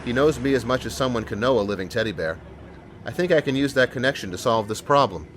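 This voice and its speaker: A man playing a calm, sincere and determined police sergeant in an audio drama. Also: mid-range voice, fast talker, slight background noise, natural voice. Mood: pleading, determined.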